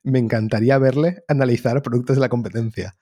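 A man's voice: mid-range voice, steady tone, quick pace, a crowd in the background. Opinionated man, stammering. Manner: opinionated, stammers on repeated words. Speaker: podcast guest. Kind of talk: casual tech podcast chat.

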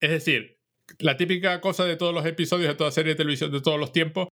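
A man's voice: a little high, fairly even intonation, fast, a bit bright. A man co-hosting a podcast, recapping a cartoon episode in casual chat. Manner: recaps episode plot, quotes lines with little acting. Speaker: podcast co-host.

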